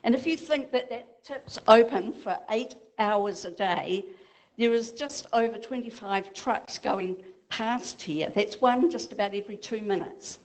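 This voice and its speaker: A woman's voice: fairly high pitch, measured pace, low-quality recording. A woman with a New Zealand accent speaking as a resident at a public meeting, earnestly laying out figures.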